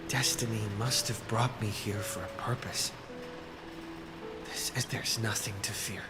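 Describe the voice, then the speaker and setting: Casting: male actor as resolute young fantasy game hero, lowish voice, earnest and deliberate, loud score behind. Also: fairly even intonation, lightly acted. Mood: solemn resolve. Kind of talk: scripted video game drama acting. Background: loud game music.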